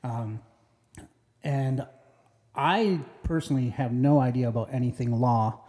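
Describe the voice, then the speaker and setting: A man's voice: medium pitch, a little slow, warm tone. A man from northern Minnesota in casual unscripted discussion, thinking aloud with a hesitant delivery. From northern Minnesota, Iron Range. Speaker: co-creator and actor of a fictional small-town show.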